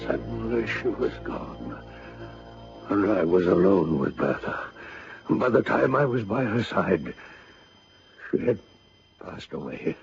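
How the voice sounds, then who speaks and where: A man's voice: on the low side, big pitch swings, slow, creaky, long pauses, faint music behind, heavily acted. An actor playing a grieving old general who tells his story solemnly and dramatically in a radio drama. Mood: hushed, grieving. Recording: dull, hissy old radio recording.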